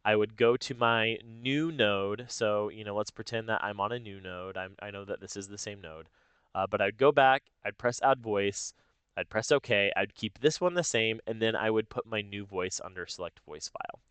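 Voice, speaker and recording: A man narrating a casual step-by-step software tutorial, explaining informally and thinking aloud as he goes. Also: lowish voice, normal speed, smooth, no room sound. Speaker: tutorial host.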